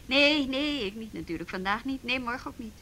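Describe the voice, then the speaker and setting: A busy, wry female youth officer in a radio drama. Her voice is fairly high and very animated, with a forward, bright edge and chatty, natural delivery. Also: fairly quick, a little hiss or hum. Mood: brisk, friendly. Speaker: actor in a radio play.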